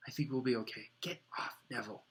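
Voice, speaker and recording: A man's voice: mid-range voice, flat delivery, normal speed, fairly bright tone, natural voice. A man voicing an anxious young schoolboy hero who speaks in a breathless, urgent way. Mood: hushed.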